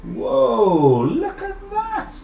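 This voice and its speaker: Animated British man reading a children's story. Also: very high voice, drawn-out words, creaky, muffled recording. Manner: enthusiastic, exclaiming and addressing young listeners directly. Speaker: storyteller reading a picture book aloud to children. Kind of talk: expressive read-aloud with chatty asides. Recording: echoey room with faint background noise.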